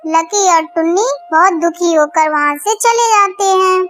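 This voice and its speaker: Female narrator, very high childlike pitch, scripted children's storytelling. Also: unhurried, fairly bright tone, no echo.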